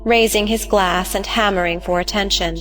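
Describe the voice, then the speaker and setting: A woman's voice: mid-range voice, fairly even intonation, moderate pace, a bit bright, soft background music. Woman narrator reading stage directions.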